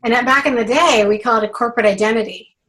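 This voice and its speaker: Hoarse middle-aged woman hosting a call. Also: on the high side, quick pace, sharp tone, slight background noise. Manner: chatty, many fillers and restarts. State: hoarse from a cold. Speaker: branding coach hosting a clinic. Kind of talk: casual group call.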